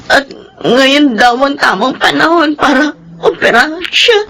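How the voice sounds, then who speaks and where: A woman's voice: high voice, lively intonation, unhurried, gravelly, pushed and bright, heavily acted. A woman playing a devoted, meek young maid in a Filipino radio drama, polite and emotional. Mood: tearful, sad.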